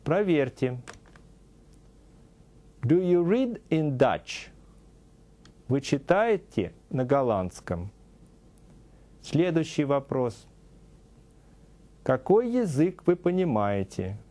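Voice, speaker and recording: A middle-aged man teaching a scripted TV language lesson, saying English example phrases slowly and deliberately, in a studio. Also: medium pitch, sing-song, warm tone. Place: TV studio with a news-desk set.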